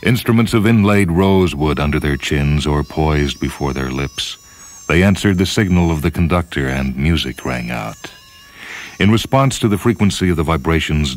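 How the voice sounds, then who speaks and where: A man narrating a story in a dramatic, measured, grave manner. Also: rumbling low, a little hiss or hum. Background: music.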